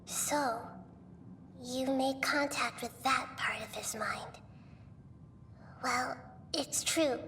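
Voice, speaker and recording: A woman voicing a small, wise, gentle young goddess in a video game, composed and measured as she explains. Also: high-pitched, vocal fry, slight background noise, exaggerated voice. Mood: calm, knowing.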